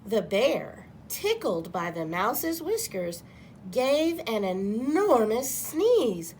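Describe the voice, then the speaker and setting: A woman's voice: fairly high pitch, big pitch swings, drawn-out words, darkish tone, a little hiss or hum. A woman reading a picture book aloud to children as a storyteller. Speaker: guest storybook reader.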